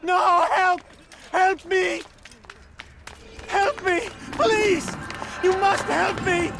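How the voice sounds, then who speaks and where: A man's voice: extremely high pitch, fairly even intonation, very slow, vocal fry, loud music behind the voice, exaggerated voice. An actor playing a frantic, desperate male patient in a video game, crying out in distrust and panic. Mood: frantic terror. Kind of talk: video game cutscene acting.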